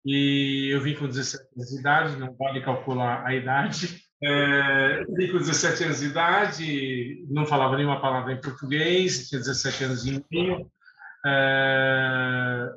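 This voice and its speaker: Lebanese-born guy in his 60s, bright voice, pretty echoey room, kinda dull sound. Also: medium pitch, slight background noise. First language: Arabic. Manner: unhurried, many fillers and restarts. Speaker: guest, eye doctor. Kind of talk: conversational interview.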